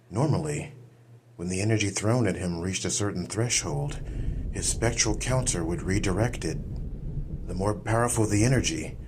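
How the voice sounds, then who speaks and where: A man narrating a fantasy audiobook in a dramatic, measured, literary storytelling style. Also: low voice, noisy background. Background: music.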